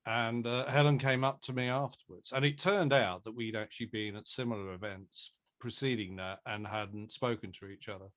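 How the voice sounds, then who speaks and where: A man, an audio drama producer, telling an anecdote in a halting way with wry understatement on a group interview call. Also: on the low side, fairly even intonation, a bit bright. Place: remote video call.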